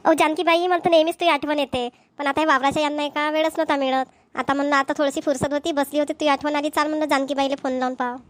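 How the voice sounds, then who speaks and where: Cartoon village sister, middle-aged, female performer, high voice, Vidarbha Marathi accent, talkative, concerned and full of questions. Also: fairly even intonation, racing, close to an everyday voice. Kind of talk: amateur cartoon dialogue.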